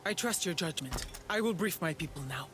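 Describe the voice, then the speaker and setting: A man's voice: fairly high pitch, expressive, fairly quick, music in the background, close to an everyday voice. A man playing a solemn, formal alien leader in a video game cutscene.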